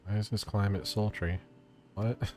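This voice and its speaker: Low-voiced, profane male game streamer. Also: fairly even intonation, measured pace, a little mellow. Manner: profane, slangy, offhand. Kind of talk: casual gameplay commentary. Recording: game music behind the voice.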